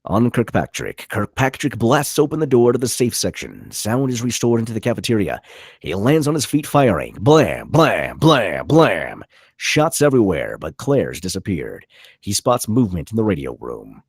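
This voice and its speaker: A man's voice: lowish voice, huge pitch swings, normal speed, vocal fry, husky, no echo, clean studio sound. A man narrating stage directions at a script table read, with vivid, punchy dramatic delivery. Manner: vivid, punchy reading of action.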